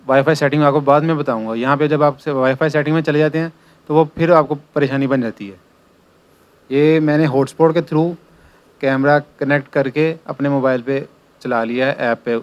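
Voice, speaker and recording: A man with a clear, smooth voice talks through an informal how-to. He goes step by step like a working technician showing a job, and repeats his instructions as he goes. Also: on the high side, fairly even intonation, normal speed, dull audio. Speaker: electrician giving installation tutorial. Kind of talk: informal hands-on tutorial narration.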